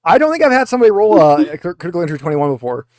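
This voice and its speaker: A man's voice: sits high, sing-song, racing, darkish tone, no room sound. A man acting as game master, talking players through dice rolls and rules in a tabletop roleplaying session.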